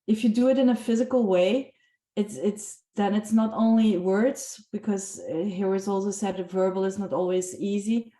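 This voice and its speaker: A woman taking part in an online group discussion, earnest and halting, often restarting her sentences. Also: medium pitch, fairly even intonation, warm tone. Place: video call. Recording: echoey room.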